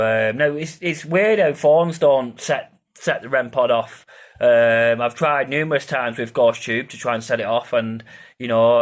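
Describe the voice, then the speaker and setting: A man giving an informal explainer as an amateur paranormal investigator, rambling and hesitant, repeating himself and swearing casually. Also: mid-range voice.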